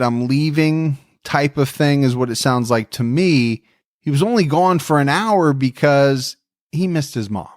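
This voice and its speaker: A man hosting a true crime podcast, telling the story in a relaxed, friendly way and chatting with his co-host. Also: medium pitch, smooth, dry recording, clean studio sound.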